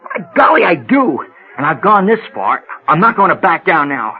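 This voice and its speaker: Earnest, stammering reluctant candidate, pained, lively pitch swings, radio drama, dull old-recording sound. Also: male voice, moderate pace, slight background noise. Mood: resolute. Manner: hesitant, stammering, sincere. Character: earnest, clean-living man pained by headaches, reluctant political candidate.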